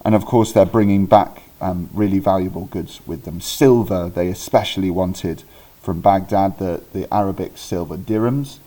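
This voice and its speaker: A man's voice: low-pitched, moderate pace, warm tone. A man from Wales, teaching history in a casual way, with many ums and restarts and the odd correction of himself. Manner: chatty, self-correcting, with frequent ums and restarts. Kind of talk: informal recorded history lesson.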